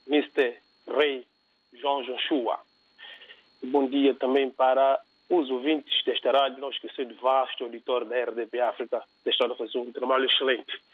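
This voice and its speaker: A man's voice: medium pitch, no room sound. A man from São Tomé and Príncipe calling in to a radio show, speaking with passion and indignation. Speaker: radio caller. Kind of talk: phone-in opinion. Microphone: telephone. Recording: tinny phone line, dry.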